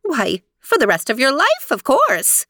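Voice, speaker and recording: A fussy fairy godmother type, acted by a woman storyteller, breezy and sing-song. The pitch swoops about freely, and the sound is dry and close, with an evasive, reassuring manner. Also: huge pitch swings, a little slow, bright, forceful tone, no echo, heavily acted. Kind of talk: storybook character voice.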